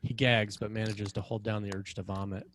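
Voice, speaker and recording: A man's voice: fairly low pitch, flat delivery, fairly quick, fairly bright tone, dry recording. A man reads scripted scene directions as the narrator of a screenplay table read.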